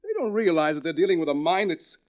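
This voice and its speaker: An actor playing a courteous, inquisitive and intense doctor in 1940s radio drama style. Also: male voice, a little high, fast talker, close to an everyday voice. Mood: grave, intense. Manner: formal, inquisitive, earnest. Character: courteous, intense male doctor writing about criminal minds. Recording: dull vintage 1940s radio recording.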